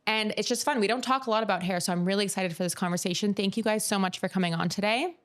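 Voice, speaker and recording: A woman co-hosts an interview show. She talks quickly and sounds upbeat and enthusiastic as she welcomes her guests. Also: mid-range voice. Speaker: podcast co-host. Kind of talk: casual chat.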